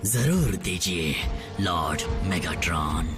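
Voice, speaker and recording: Sly robot sidekick voiced by a man, low and fawning. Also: expressive, slow pace, music in the background. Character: scheming male robot lieutenant, servile. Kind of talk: Hindi cartoon dub.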